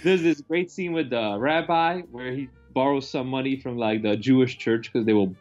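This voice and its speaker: A man's voice: medium pitch, moderate pace, darkish tone. A man co-hosting a film discussion, talking casually in a scornful, sweary, opinionated way. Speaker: film discussion show co-host. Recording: dull lo-fi mic, faint music.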